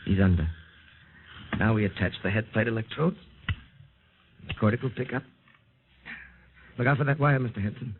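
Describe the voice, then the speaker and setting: An actor playing a calm, clinical doctor who speaks in a measured, authoritative way, in a 1950s radio drama acting style, heard through a tinny old radio broadcast. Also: male voice, fairly low pitch, a little hiss or hum, close to an everyday voice. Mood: hypnotic. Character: calm, clinical middle-aged male doctor.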